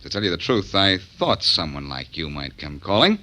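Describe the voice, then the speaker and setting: A quick-witted American sleuth: self-assured, swooping delivery, very bright edge, vintage radio style. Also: male voice, low-pitched, big pitch swings, full character voice. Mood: cool, taunting. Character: cocky, quick-witted tough amateur detective hero. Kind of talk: 1940s radio acting. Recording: vintage 1940s radio recording.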